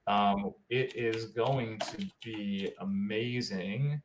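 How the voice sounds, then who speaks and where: A man's voice: fairly low pitch, very slow, slight background noise. Man chatting casually in an echoey room. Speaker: podcast co-host. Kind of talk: casual chat. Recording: roomy, echoing sound.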